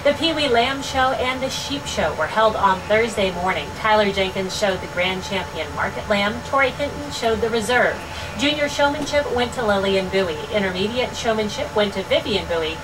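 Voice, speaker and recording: Young woman reading fair results off a script, upbeat and steady, bit far from the mic, hissy. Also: medium pitch, moderate pace. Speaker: radio station fair update host. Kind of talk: scripted news update reading. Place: studio with dark backdrop. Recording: distant mic with noticeable hiss.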